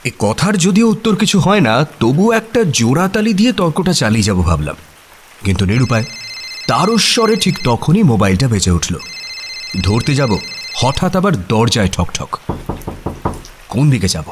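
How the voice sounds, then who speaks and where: An actor playing a detective's good-humoured friend, narrating a radio drama in an expressive, wry, conversational way. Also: male voice, medium pitch, normal speed, long pauses, slight background noise, lightly acted. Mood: good-humoured, animated.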